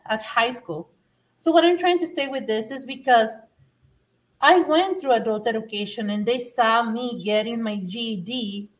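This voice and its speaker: A woman's voice: a little high, far from the mic, low-quality recording. A middle-aged woman from Mexico, earnestly telling her own story as a podcast guest, repeating words as she restarts sentences. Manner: earnest, emphatic, with frequent restarts. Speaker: guest, former adult learner. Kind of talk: personal testimony in conversation.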